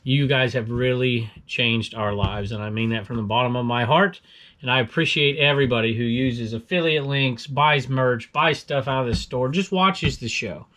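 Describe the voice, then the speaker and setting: A man's voice: medium pitch, normal speed, a bit bright. A man in his forties with a Southern US accent, hosting a casual reselling vlog in a chatty, rambling way with frequent chuckles. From Southern California. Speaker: eBay reseller and show host.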